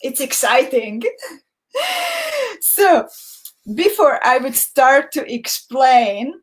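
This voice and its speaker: A woman's voice: high-pitched, expressive, extremely slow, poor audio. A woman from Slovakia with a Hungarian accent, a native Hungarian speaker, hosting a friendly, chatty live beading tutorial and greeting viewers by name. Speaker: beading instructor.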